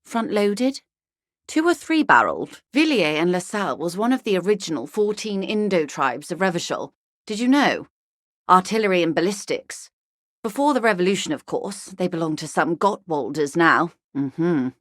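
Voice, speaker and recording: Game role: genteel, shrewd female corporate negotiator, lowish voice, measured irony, dry close studio sound. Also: sing-song, dry recording. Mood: cultured, conversational. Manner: measured, dryly ironic, refined. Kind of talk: video game character dialogue.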